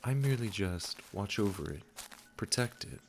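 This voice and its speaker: A man playing a gentle, wise garden keeper in a comforting audio roleplay, speaking in a soothing, affectionate and encouraging way. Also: lowish voice, expressive, normal speed, background noise. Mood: tender, encouraging.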